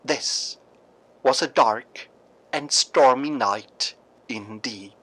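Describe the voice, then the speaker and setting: A man narrating scripted radio drama and mock news as an anchor, in a theatrical, deliberate storytelling manner. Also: mid-range voice, bright, forceful tone, husky, poor audio.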